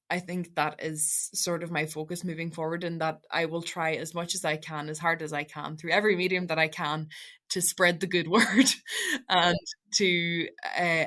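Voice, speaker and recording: A woman's voice: lowish voice, fairly bright tone. Earnest young woman with a Donegal accent. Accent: Donegal Irish. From Ireland, Donegal Gaeltacht. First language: Irish. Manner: earnest, halting with frequent restarts. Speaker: guest. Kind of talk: reflective interview answer.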